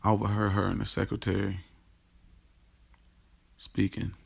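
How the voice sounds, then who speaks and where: An actor playing a lovestruck young man confiding his secret romance in a casual, slangy audio drama monologue, with a gravelly, husky voice. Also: lowish voice, monotone, normal speed, heavily acted. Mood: smitten, relaxed. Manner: informal, slangy, acts out quoted dialogue. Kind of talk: first-person audio drama monologue, conversational storytelling.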